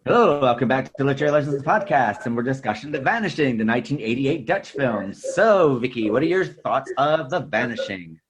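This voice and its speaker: A man's voice: mid-range voice, animated, brisk, hardly any pauses, noisy background. A man hosting a podcast in a casual, chatty style. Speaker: podcast host. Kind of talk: casual chat.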